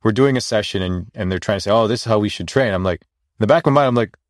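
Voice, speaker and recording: A man's voice: lowish voice, fast, no echo, studio quality. A man hosting an interview, agreeing often and asking loose, rambling questions. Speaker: podcast host. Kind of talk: casual interview conversation.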